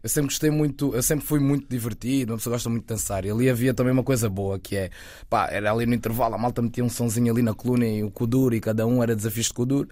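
A man in his twenties, raised near Lisbon, chatting as an interview guest, relaxed and rambling with fillers and restarts. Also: mid-range voice, very fast, dry recording. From Lisbon area, Portugal. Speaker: guest, actor and content creator. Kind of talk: casual interview talk.

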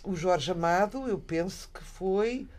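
A Portuguese woman in her sixties with a European Portuguese accent, chatting about books in an animated, halting way. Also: fairly low pitch. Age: 60s. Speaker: radio book show co-host. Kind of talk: casual literary chat.

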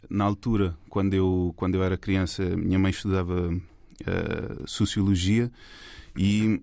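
A man from Portugal with a very low, flat voice talks through his story as a guest filmmaker. He hesitates often, restarting his sentences and filling the gaps. The sound is dry and close. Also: no echo. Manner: hesitant, many fillers and restarts. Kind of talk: casual interview talk.

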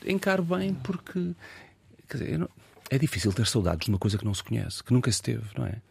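A Portuguese man in his fifties with a European Portuguese accent, an actor talking reflectively in an interview, pausing and restarting as he thinks. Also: medium pitch, big pitch swings, creaky, a little mellow, slightly rough. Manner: reflective, hesitant with many fillers and restarts. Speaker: actor and guest. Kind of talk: casual interview conversation.

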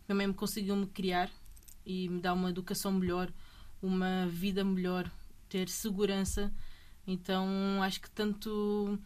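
A woman in her thirties from Brazil, speaking Portuguese with a blend of Brazilian and European accents. She chats easily and restarts her sentences often. Her pitch stays fairly flat and level. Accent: Brazilian Portuguese mixed with European Portuguese. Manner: chatty, restarts sentences, tags with "entende?". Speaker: MMA fighter, guest. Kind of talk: casual interview conversation.

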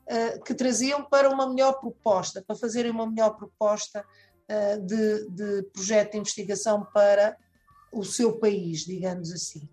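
A woman foundation director, answering interview questions deliberately, with frequent hesitations. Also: mid-range voice, steady tone, darkish tone, faint music behind.

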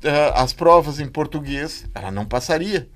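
A panel participant speaking spontaneously and haltingly, often breaking off phrases to start again. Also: medium pitch, expressive. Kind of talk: spontaneous discussion.

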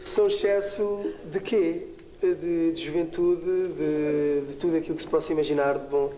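A man's voice: fairly high pitch, steady tone, measured pace, dark tone. A man, speaking as a boy band member in an interview. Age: 20s. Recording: echoey archive clip, music behind.

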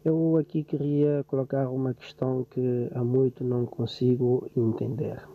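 A man's voice: mid-range voice, level tone, moderate pace. A man from Verde, calling in to a radio show and speaking in a polite, formal way. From Cape Verde. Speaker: caller. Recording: phone line with faint hiss.

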